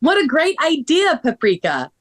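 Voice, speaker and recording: A woman playing a caring, upbeat heroine in a children's story table read, encouraging and expressive. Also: sits high, normal speed, dry recording, studio quality, full character voice.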